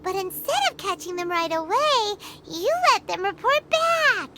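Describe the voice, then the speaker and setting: A woman's voice: very high-pitched, sing-song, a little slow, heavy background noise, exaggerated voice. A woman voicing a small, chatty female fairy companion in a video game cutscene, eager and gushing and referring to herself in the third person. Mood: excitable.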